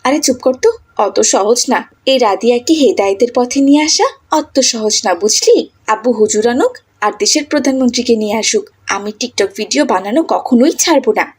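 A woman acts out a defiant cartoon teenager bossing her little sister. Her high voice has a husky edge and sounds cocky and boastful. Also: lively intonation, normal speed. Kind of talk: cartoon drama dialogue.

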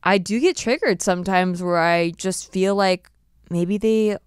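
A woman in her thirties hosts a casual podcast, talking in a smooth, clear voice at a middle pitch. She is chatty and animated, often dropping into playful voices. The sound is dry and close on a desk mic. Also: a little slow, no room sound. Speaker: podcast host. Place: small podcast studio. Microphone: desk mic on a boom arm.

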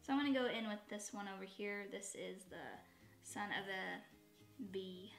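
A woman in her thirties with a slightly raspy, dry voice, chatting in a rambling way while doing her makeup at home, often correcting herself. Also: medium pitch, moderate pace, faint music behind. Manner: rambling, self-correcting, with asides. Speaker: beauty vlogger. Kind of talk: chatty get-ready-with-me. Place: bedroom at home, indoors. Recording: echoey home room.

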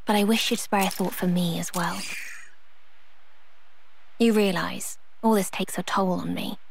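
A woman's voice: mid-range voice, steady tone, a little slow, creaky. An actress playing a refined, haughty young female fighter in a video game, speaking formally with dry, long-suffering reproach. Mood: weary, reproachful. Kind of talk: video game cutscene acting.

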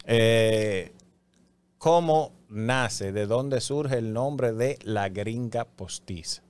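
A man in his thirties hosting a radio interview, asking questions and encouraging the guest with steady backchannel replies, speaking into a studio mic. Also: mid-range voice, drawn-out words, clear, resonant tone. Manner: encouraging, frequent backchannel "ajá". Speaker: radio show host. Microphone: studio mic with headphones.

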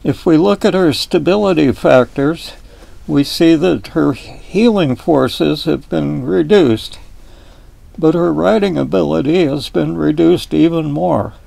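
An older man with a folksy, deliberate manner, explaining boat design in a wood-panelled cabin, filmed from a fixed camera across a table. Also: a little high, gravelly, mellow, dry recording. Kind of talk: explanatory video lesson.

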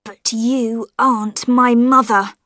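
A woman's voice: fairly high pitch, steady tone, takes it slowly, a bit bright, no room sound, clean studio sound. A woman voicing a nervous middle-aged man in a storybook reading, tense and clipped.

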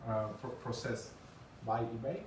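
A man in his thirties speaks English with a Polish accent, stopping often on fillers and repeating words as he presents. He sounds far from the mic in an echoey room. His pitch sits in the middle of the range. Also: measured pace, darkish tone, slight background noise. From Poland. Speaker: conference speaker. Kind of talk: presentation talk. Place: small meeting room with green walls and a screen. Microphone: far camera, no mic seen.

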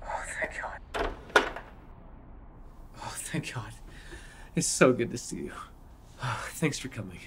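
A man playing a panicked, exhausted newly turned vampire in an ASMR audio roleplay, stammering and often dropping into a breathy whisper. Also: medium pitch, lively intonation. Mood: exhausted, urgent. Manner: halting, stammering, breathy sighs and frequent swearing.

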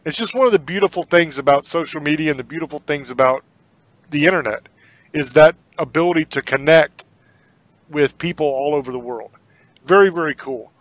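Male podcast host, casual and earnest, slightly high voice, dry close sound. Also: a little fast, dry recording, rough recording. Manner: earnest, rambling, repeats words. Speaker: podcast host and horror author. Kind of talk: casual sign-off talk.

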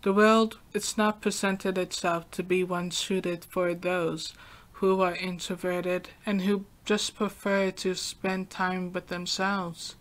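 A young adult woman hosting a podcast, talking through a calm, soft-spoken, reflective solo monologue. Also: lowish voice. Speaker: podcast host.

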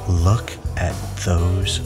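An actor playing a sinister, taunting tormentor in an audio drama, with a drawn-out delivery. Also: male voice, low-pitched, level tone. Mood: cruel, taunting. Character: sinister, taunting male tormentor in a hellish illusion. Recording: loud music under the voice. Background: music.

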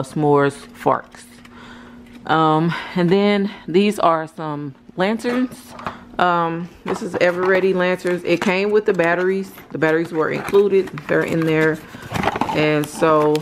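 An American woman in her thirties casually showing off a shopping haul from behind a handheld camera at home. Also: deep voice. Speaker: vlogger. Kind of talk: casual haul show-and-tell. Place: indoors at home. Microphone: handheld camera, speaker behind it. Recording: clear background noise and handling clicks.

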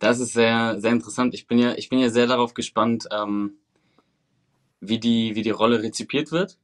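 A man's voice: mid-range voice, steady tone, normal speed. A man in his twenties, from Venezuela and raised in Germany, answering as an actor guest over a video call, thoughtful and articulate with hesitant fillers. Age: 20s.

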